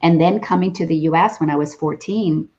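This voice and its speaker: Low-voiced woman from the Dominican Republic. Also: brisk, a little mellow, highs cut off. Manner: hesitant, repeats words as she gathers thoughts. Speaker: host. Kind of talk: interview conversation.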